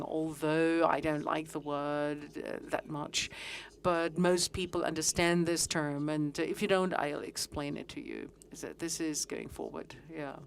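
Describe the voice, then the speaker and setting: A middle-aged woman giving a conference presentation, speaking haltingly with frequent pauses and fillers. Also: low voice. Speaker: conference speaker on fitness training.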